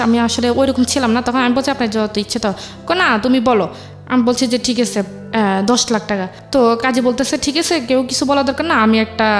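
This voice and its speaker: A young Bangladeshi woman speaks in a fairly high voice, telling a personal story as an interview guest. She goes along haltingly, repeating phrases as she recounts things. Music plays behind her.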